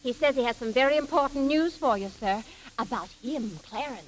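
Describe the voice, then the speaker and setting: A deferential maid played by a woman in an old radio comedy. Her voice is high, and she speaks with careful politeness. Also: brisk, a little hiss or hum, natural voice, rough recording. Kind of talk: vintage radio comedy acting.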